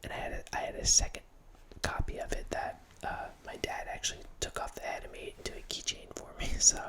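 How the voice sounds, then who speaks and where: A man's voice: quick pace, pushed and bright. A man in his thirties whispering a casual, rambling talk close to a studio mic in a small home room, often restarting his sentences. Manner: rambling, self-correcting, casual. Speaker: host. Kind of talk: whispered ASMR horror film ramble. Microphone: studio mic close up, headphones.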